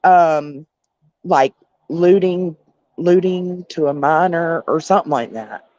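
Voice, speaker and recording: A woman's voice: low-pitched, slow pace, mellow. A woman chatting casually as a caller on a livestream panel, heard over lo-fi voice chat audio.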